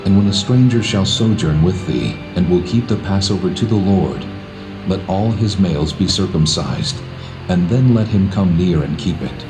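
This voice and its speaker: A man voicing a solemn, commanding God laying down law in measured, authoritative words, in a dramatised scripture reading. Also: low voice, lively intonation, rough recording. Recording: music behind the voice.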